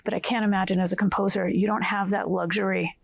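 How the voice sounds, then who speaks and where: A woman's voice: medium pitch, little pitch movement, quick pace, vocal fry, no room sound. A woman from New Jersey, an orchestral flutist, talking casually and thoughtfully about classical music. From New Jersey, United States. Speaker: orchestra principal flutist, guest.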